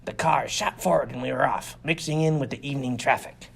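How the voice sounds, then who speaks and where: A man's voice: mid-range voice, a little fast, creaky, fairly bright tone, slightly rough. A man reading a first-person science fiction adventure story as scripted audiobook narration. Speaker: audiobook reader.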